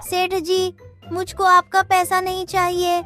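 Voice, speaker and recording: A man voicing a scheming, flattering village clerk in a cartoon, whiny and wheedling. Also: very high voice, slow. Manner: whiny, wheedling, repeats a catchphrase. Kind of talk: Hindi cartoon voice acting. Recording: music behind the voice.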